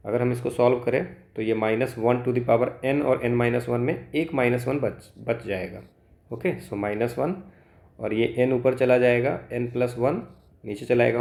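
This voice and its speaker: A man's voice: medium pitch, vocal fry, a little mellow. An Indian man teaching as a maths exam tutor, explaining solved problems step by step and mixing Hindi with English terms. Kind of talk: instructional walkthrough of solved problems.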